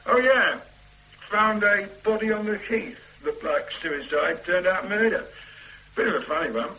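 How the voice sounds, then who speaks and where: A patient young detective son. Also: male voice, high-pitched, animated, unhurried, sharp tone, full character voice, poor audio. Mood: eager. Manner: patient, strained, defensive. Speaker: police detective. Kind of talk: radio drama acting.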